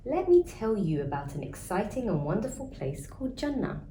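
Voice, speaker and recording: A woman voicing a kindly, reassuring grandmother in a children's storybook, with a gentle storytelling manner. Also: mid-range voice, sing-song, normal speed, pitched above the performer's own voice, close to an everyday voice. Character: kindly, reassuring grandmother telling twins about paradise. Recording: roomy echo, faint background noise.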